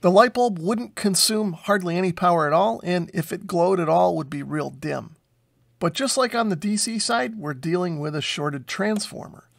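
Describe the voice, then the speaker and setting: A man's voice: high voice, animated, moderate pace, vocal fry. An American man in his forties giving an informal technical tutorial in a methodical, explanatory way. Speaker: electronics repair video host. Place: small unfinished basement workshop.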